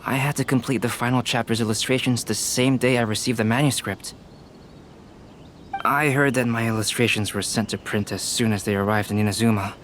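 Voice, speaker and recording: A man playing a calm, polite, thoughtful young artist in an anime video game, speaking in a measured, formal way. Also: mid-range voice, a little hiss or hum, close to an everyday voice. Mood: composed. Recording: music playing behind the voice.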